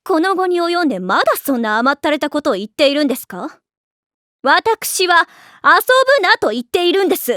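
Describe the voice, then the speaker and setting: Haughty, high-voiced anime rich girl. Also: expressive, fairly quick, sharp tone, no room sound, clean studio sound. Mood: stern, scornful. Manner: prim, pompous ojou-sama diction. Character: haughty, strict, sharp-tongued young lady, high school girl. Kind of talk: anime voice acting.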